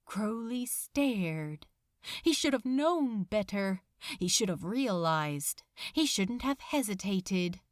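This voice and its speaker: A woman reading fiction aloud as a dramatic, expressive narrator, deliberate and suspenseful. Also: medium pitch, no echo, clean studio sound.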